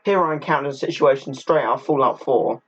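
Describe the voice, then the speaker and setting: A man's voice: medium pitch, quick pace, a bit bright, faint music behind. A man narrating a video essay, partly scripted and partly casual. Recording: dull lo-fi audio with faint noise.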